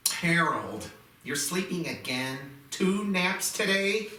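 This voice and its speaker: An elderly wife acted by a woman calls to her husband in a script read. She speaks slowly with a bright, forceful tone, off the mic in an echoey room. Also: on the low side, big pitch swings, distant mic, slight background noise, full character voice. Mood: chiding, domestic. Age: older.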